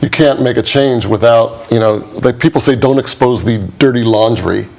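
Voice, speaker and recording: A man's voice: mid-range voice, normal speed, creaky, a little mellow. A man from the United States, a documentary producer appearing as a guest on a talk show, explaining his work in an easygoing, conversational way. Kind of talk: studio interview.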